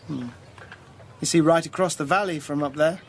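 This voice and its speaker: A man's voice: on the high side, steady tone, moderate pace, slight background noise, natural voice. A male actor playing a snobbish, privileged English schoolboy in a radio drama, haughty, clipped and sneering. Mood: sneering, suspicious.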